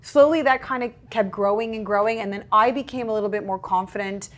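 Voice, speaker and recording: A young woman speaks English, her voice sitting at a middle pitch. She chats freely and acts out her own past remarks with a lift in her voice. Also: a little fast. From Portugal. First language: Portuguese. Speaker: content creator guest. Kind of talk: casual interview chat.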